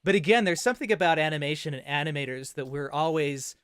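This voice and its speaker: A man's voice: fairly high pitch, animated, fast talker, fairly bright tone, clean tone, dry recording, clean studio sound. A man hosting a casual interview livecast, talking in an enthusiastic, affirming way. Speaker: host and interviewer.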